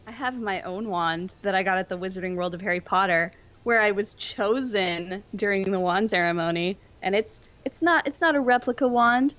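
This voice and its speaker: Female podcast guest, mid-pitched, slightly lo-fi mic, loose conversational delivery full of "like". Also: normal speed, hardly any pauses, a bit bright. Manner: informal, peppered with "like". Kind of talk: casual chat.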